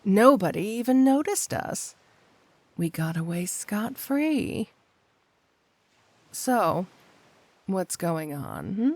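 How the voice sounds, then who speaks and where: A woman's voice: mid-range voice, very animated, darkish tone, exaggerated voice. A woman playing a flirty, possessive supervillain cat burglar wife in an intimate ASMR roleplay, coyly drawing out her endearments. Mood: concerned, probing. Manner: coy, drawn-out, purring endearments with pointed hums.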